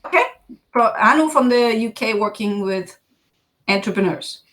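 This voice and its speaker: A woman's voice: on the high side, lively intonation, normal speed, a bit bright. A woman hosting an online talk show, interviewing guests in an upbeat way and laughing often. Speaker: host. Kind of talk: casual online show chat.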